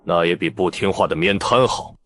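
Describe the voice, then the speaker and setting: An actor playing a stern, authoritative film director who speaks in curt commands in an animated drama. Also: male voice, low voice, normal speed, a little hiss or hum. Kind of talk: dramatic character dialogue.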